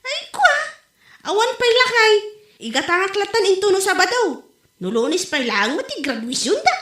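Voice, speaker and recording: A woman's voice: very high voice, big pitch swings, moderate pace, pushed and bright, full character voice. A woman playing a chatty, vain wife and mother with an Ilocano accent, bantering expressively in a comedy drama. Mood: defensive, playful. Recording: roomy, echoey home recording.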